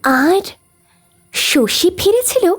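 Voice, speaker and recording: A woman's voice: very high voice, sing-song, drawn-out words, exaggerated voice. A woman playing a curious young city granddaughter, hesitant and questioning, in an audio story drama. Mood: anxious curiosity. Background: music.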